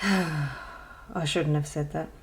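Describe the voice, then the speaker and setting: A woman's voice: low voice, very slow, a little hiss or hum. A woman chatting casually in a show-and-tell vlog, rambling and hesitant with many ums and self-deprecating asides. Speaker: colouring channel host.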